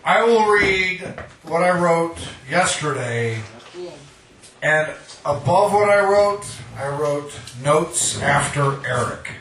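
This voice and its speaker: A man's voice: a little high, expressive, takes it slowly, far from the mic, crowd noise. A man giving a live poetry reading, as a poet and host of the night. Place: live reading venue, indoors. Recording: echoey room, picked up from afar.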